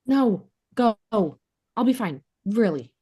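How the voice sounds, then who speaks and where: A woman's voice: medium pitch, lively intonation, slow, dark tone, husky. A woman acting a sheltered, earnest, unsure young runaway bride in a sitcom table read. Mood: subdued, wistful.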